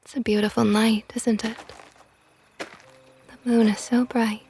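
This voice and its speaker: A woman voicing a possessive, flirtatious female vampire knight in an ASMR roleplay, talking softly and often in a whisper with a teasing tone. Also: on the high side, level tone, takes it slowly, slight background noise. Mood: tender teasing.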